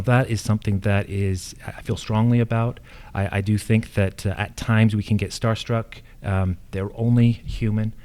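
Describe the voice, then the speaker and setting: A man with an American accent answering as a candidate at a forum, speaking earnestly and emphatically with halting phrasing. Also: fairly low pitch, warm tone. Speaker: incumbent county assessor candidate.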